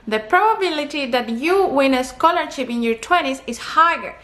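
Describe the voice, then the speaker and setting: A woman's voice: sits high, pushed and bright. A young woman from Colombia, a native Spanish speaker with a Colombian accent, giving earnest travel advice to camera and counting off her points. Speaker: travel vlogger. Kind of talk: talking to camera.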